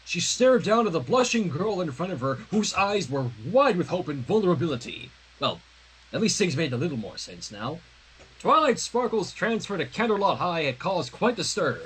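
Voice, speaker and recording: High, animated, husky-voiced man reading aloud. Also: moderate pace, low-quality recording. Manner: expressive, acts out dialogue. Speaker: host reading fan fiction aloud. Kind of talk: dramatic read-aloud of a story with casual commentary.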